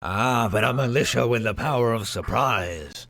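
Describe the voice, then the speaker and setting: A man's voice: medium pitch, lively intonation, moderate pace, noisy background. A man improvising as a player in a tabletop roleplaying game.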